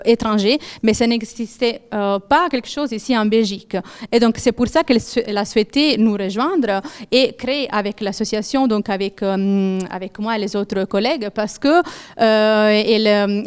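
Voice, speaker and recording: A middle-aged woman gives an earnest, halting presentation to an audience, with many fillers and restarts. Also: a little high, clean tone. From Italy. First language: Italian. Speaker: patient association co-founder presenting.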